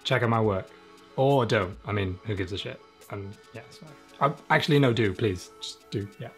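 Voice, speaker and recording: A man's voice: on the low side, normal speed. Casual, self-deprecating man over music. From South Wales, UK. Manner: informal, self-deprecating, sweary. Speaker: interviewed illustrator. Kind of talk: casual interview chat. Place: small room, home studio indoors.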